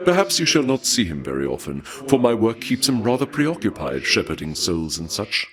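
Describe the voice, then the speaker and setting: Game war god, deep-pitched, courtly, archaic phrasing, measured menace, relish for death. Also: male voice, sing-song, a bit bright. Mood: suave, morbid relish. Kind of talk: theatrical video game character dialogue.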